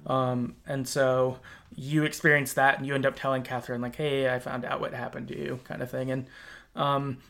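Casual, halting male podcast host. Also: medium pitch, level tone, creaky, fairly bright tone. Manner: halting, thinking aloud, informal. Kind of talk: casual conversational game discussion.

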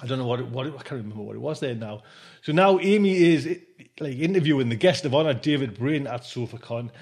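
A man's voice: mid-range voice, animated, fast, a little mellow. A man hosting a podcast in a casual, rambling, informal way, dropping in conversational tag phrases. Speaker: podcast host.

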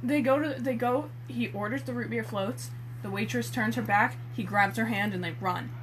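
A woman's voice: a little high, moderate pace, a little hiss or hum, low-quality recording. A young woman chatting casually as a co-host, making giggly, informal jokes about a story being read aloud. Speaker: co-host reading dialogue and commenting. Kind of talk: casual comedic banter.

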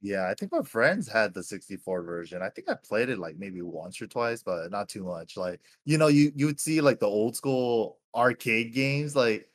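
A man's voice: fairly low pitch, animated, moderate pace, hardly any pauses. Laid-back man saying "dude" a lot. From Hawaii. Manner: laid-back, keeps calling people "dude". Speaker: podcast guest. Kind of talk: casual chat.

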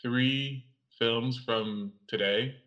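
Young guy from cameroon being interviewed, kinda monotone, thoughtful, dull lo-fi sound. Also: medium pitch. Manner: measured and reflective, some pauses. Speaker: guest, audiovisual artist and writer. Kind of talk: interview conversation.

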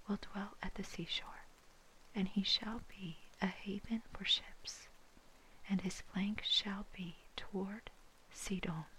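A woman reading scripture in a soft, breathy, unvoiced whisper, ASMR style, with measured phrasing. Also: medium pitch, poor audio. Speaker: scripture reader.

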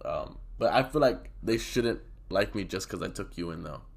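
A man's voice: sits low, expressive, moderate pace, gravelly. A young man co-hosting a podcast in casual chat, sick and coughing, talking in a loose, profane, rambling way. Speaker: podcast co-host.

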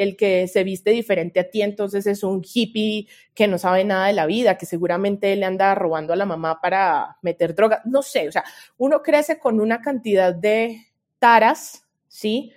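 A woman with a Colombian accent, speaking as a podcast guest and researcher, giving advice in an earnest, expressive and casual conversational way. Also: mid-range voice.

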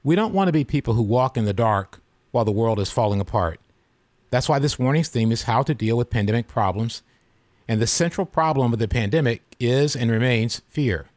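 Earnest male podcast host in his fifties, measured preacher-like delivery, dry close sound. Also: medium pitch, expressive, darkish tone, no echo. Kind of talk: devotional podcast talk.

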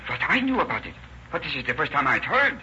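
An actor playing a nervous, evasive young murder suspect, hesitant and stiffly formal, in an old radio drama. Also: male voice, fairly high pitch, very animated, fast talker, pushed and bright, full character voice. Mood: shaken, evasive. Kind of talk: 1940s radio acting. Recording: old lo-fi radio recording with hiss.

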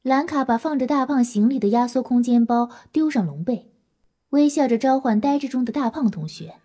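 A woman's voice: high-pitched, fairly quick, warm tone. A woman narrator telling a story in scripted audio drama style.

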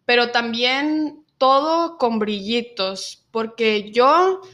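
A nineteen-year-old woman from Mexico with a Mexican Spanish accent, telling her own story in an interview and pausing often on fillers. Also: on the high side, animated, a bit bright. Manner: reflective, many filler pauses. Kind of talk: personal testimony in an interview.

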